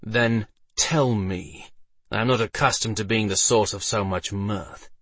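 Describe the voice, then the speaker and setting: A man's voice: lowish voice, a little slow, a bit bright, dry recording, far from the mic. Man acting a proud, commanding, furious knight. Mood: stern, threatening. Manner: commanding, formal. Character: proud, furious male knight, demanding and threatening.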